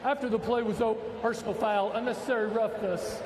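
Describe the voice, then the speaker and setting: A man refereeing football, making formal, deliberate penalty announcements to the stadium. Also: high voice, steady tone. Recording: stadium announcement drowned in loud crowd. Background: loud crowd and noise.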